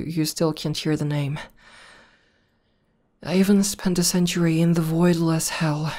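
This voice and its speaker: Woman playing a low, slow-spoken demon girlfriend. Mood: nervous. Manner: soft, halting, tender delivery. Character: tough, devoted tomboy female war demon girlfriend. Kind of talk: intimate ASMR roleplay acting.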